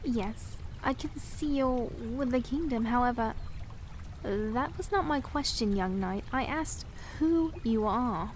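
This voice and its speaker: A woman's voice: fairly high pitch, slow, slight background noise. A woman playing a proud young princess in a fantasy audio drama, with formal, old-fashioned, precise diction. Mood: guarded, curt. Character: proud young princess, soft-spoken yet defiant, sharp-tongued.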